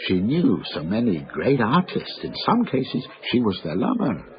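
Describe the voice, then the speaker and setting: A refined, courteous older Frenchman in a radio play, choosing his words formally and precisely. His low voice swings widely in pitch, with a slightly dull broadcast sound. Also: wildly swooping pitch, normal speed. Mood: confiding, wistful. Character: refined, indignant, confiding older Frenchman in service. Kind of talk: radio drama acting.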